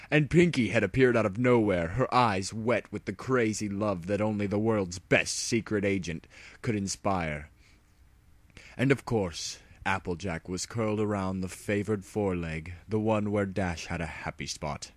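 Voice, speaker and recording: A man's voice: on the low side, normal speed, dry recording. A man narrating fan fiction in a mock-serious, theatrical audiobook style.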